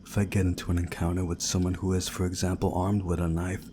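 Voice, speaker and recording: A man reading a visual novel story aloud in a measured, dramatic storytelling way, hushed at times. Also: low-pitched, flat delivery, noisy background. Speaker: video game playthrough narrator.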